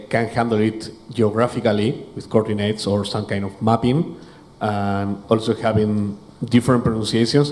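Low, flat-voiced man in the audience. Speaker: audience member.

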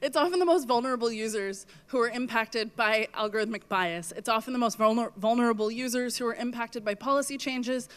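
A woman's voice: fairly high pitch, smooth. Woman speaker losing her voice. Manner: earnest, measured, with fillers. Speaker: conference speaker. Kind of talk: talk on stage with slides. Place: stage in a hall.

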